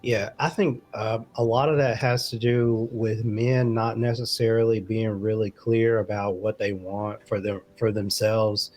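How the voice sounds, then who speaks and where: A man co-hosting a talk show, giving relationship advice in a measured, explanatory way with casual phrasing. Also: lowish voice, flat delivery, a little mellow.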